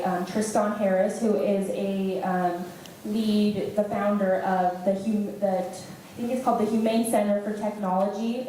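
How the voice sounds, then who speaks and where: A young woman answers a question from a student panel, feeling her way through it with fillers and restarts. Her voice is mellow and sits in the middle of her range. The room is very echoey, with a faint hum behind her. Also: medium pitch. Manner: hesitant, many fillers and restarts.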